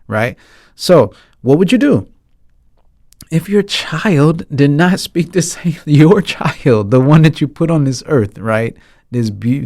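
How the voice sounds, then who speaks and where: An American man hosting a casual film talk show, chatting to his audience in an animated, playful way with frequent asides. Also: mid-range voice, dry recording, clean studio sound. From United States. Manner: animated, playful, conversational asides, often asking "right?". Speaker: podcast host. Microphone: close studio mic on boom arm, headphones.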